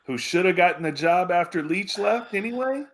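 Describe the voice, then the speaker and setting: A man hosting a casual sports podcast. He is jokey and excitable and keeps the talk playful. His voice sits a little higher than most men's. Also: normal speed. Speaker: podcast host.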